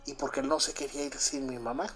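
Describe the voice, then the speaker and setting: A Cuban-born man with a Mexican Spanish accent who was raised in Mexico, telling a first-person story in a halting, confiding way full of fillers and restarts. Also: medium pitch, fairly even intonation, a bit bright, faint music behind. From Cuba, raised in Mexico. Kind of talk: first-person spoken recollection.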